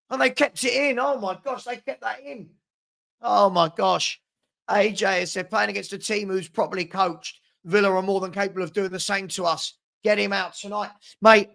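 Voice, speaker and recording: High-pitched, hoarse British male fan host. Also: sharp tone. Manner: exasperated, emphatic, repeats phrases for effect. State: hoarse, losing his voice. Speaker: host of football watchalong stream. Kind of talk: live fan commentary, reading viewer comments.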